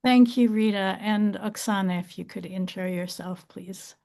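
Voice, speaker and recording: A woman's voice: mid-range voice, fairly even intonation, moderate pace, mellow. A woman moderating a panel over a video call, thanking speakers and passing the floor in an upbeat way.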